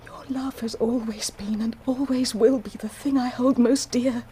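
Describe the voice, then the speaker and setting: Woman playing remorseful wife, high soft-toned voice, halting emotional delivery, period radio drama with some noise. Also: fairly even intonation, lightly acted. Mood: anguished confession. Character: anguished, remorseful wife and mother confessing to her husband.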